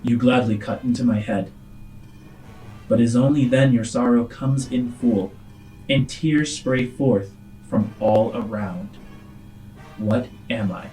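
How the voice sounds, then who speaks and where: A man's voice: medium pitch, slow pace, long pauses, mellow, soft background music, lightly acted, poor audio. A man voicing a theatrical, taunting villain in a tabletop game, with a dramatic, teasing, sing-song delivery. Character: theatrical, taunting villain with a playful flair for games.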